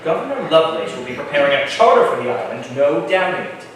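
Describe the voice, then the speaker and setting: Male actor as persuasive colonial schemer for stage musical: high, very animated, declamatory, heard from afar in an echoey room. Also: quick pace, distant mic, slight background noise. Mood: urgent, persuasive. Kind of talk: staged musical reading, spoken and sung. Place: live staged reading in a large echoey room.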